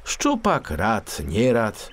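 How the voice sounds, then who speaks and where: Very animated male storyteller, lowish voice. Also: extremely slow. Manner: expressive, dramatic. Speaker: audiobook narrator. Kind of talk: scripted children's storytelling.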